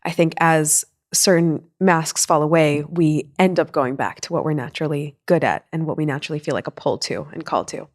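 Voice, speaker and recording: Low-voiced woman hosting an interview. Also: a little fast, hardly any pauses. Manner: warm, affirming, reflective. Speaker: podcast host.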